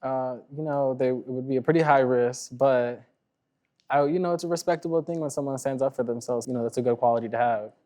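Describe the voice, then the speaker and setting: A man's voice: medium pitch, brisk, warm tone. Casual young man, frequent filler words. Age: early 20s. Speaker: talk show guest. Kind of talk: casual interview talk.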